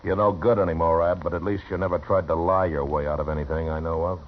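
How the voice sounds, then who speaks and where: An actor playing a stern, steady frontier marshal, terse and authoritative, in an old radio western. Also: male voice, sits low, quick pace. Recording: muffled vintage radio recording.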